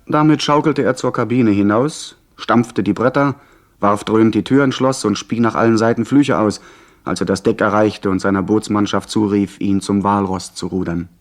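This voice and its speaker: A proud young adventurer played by a male actor tells his tale in a radio play. His voice is fairly low, with expressive, literary phrasing. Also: moderate pace, lightly acted. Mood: earnest narrating. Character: proud, defiant young man, narrating his sea adventure. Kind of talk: radio play narration and acting.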